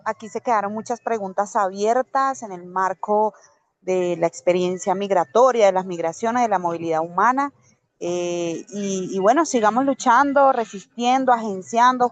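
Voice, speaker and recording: A woman speaking in earnest as the session speaker of an online workshop, giving rallying closing remarks over a video call. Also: medium pitch.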